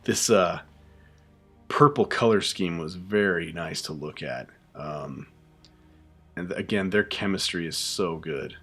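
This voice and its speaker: A man's voice: low-pitched, unhurried, fairly bright tone, soft background music. A man hosting a live reaction stream, chatting casually with lots of fillers and restarts. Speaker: YouTube host reacting to a show.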